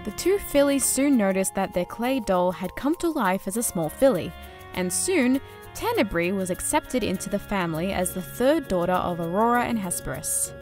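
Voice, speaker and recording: A woman's voice: mid-range voice, expressive, normal speed, a little mellow, soft background music. A woman reading scripted storybook-style narration as a narrator.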